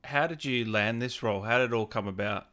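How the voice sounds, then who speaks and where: A man speaks as the host of a relaxed, informal livestream interview. He puts questions conversationally over a video call. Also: mid-range voice, fairly quick. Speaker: gaming channel host interviewing a guest.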